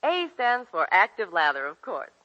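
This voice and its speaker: A woman's voice: mid-range voice, big pitch swings, normal speed, sharp tone. An actress playing an eager, chirpy young woman in a scripted 1940s radio commercial. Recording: muffled vintage 1940s radio broadcast.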